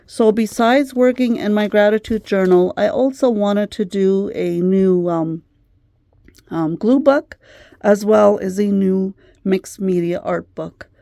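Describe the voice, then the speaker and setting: A middle-aged woman talks through a craft vlog in a gentle, chatty way, full of ums and uhs. Her voice is mellow and a little worn, as if she is starting to lose it. The sound is close and dry, with no room around it. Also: medium pitch, slow, gravelly, dry recording. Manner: gentle, chatty, many fillers. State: slightly losing her voice. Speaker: craft channel host. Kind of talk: casual craft vlog chat.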